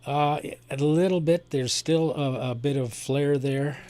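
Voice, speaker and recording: A man's voice: fairly high pitch, measured pace, a little mellow. A man working as an amateur radio operator, talking in a plain, explanatory, unscripted way during a radio contact. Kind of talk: unscripted ham radio conversation, technical advice. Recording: clear background noise and electrical hum.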